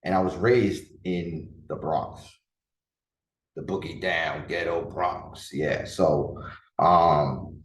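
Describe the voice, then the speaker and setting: A deep-voiced man in his thirties, originally from the Dominican Republic, talks through a casual solo podcast episode. He rambles earnestly, restarting sentences and leaning on fillers. The sound is roomy and echoey. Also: steady tone, slow pace, far from the mic. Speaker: podcast host and coach. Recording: echoey room.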